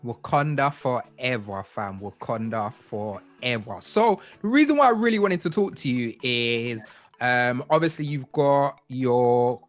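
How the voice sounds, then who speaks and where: A man's voice: medium pitch, expressive, a little slow, soft background music. A man hosting a podcast, chatting casually with slang and enthusiasm. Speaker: podcast host. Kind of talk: casual interview.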